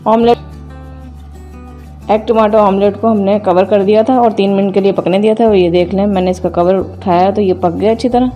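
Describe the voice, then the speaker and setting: A woman hosting a home cooking video, calmly explaining each step as she cooks in her kitchen. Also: mid-range voice, normal speed, faint music behind. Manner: calm, methodical, names each step as she works. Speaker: cooking channel host.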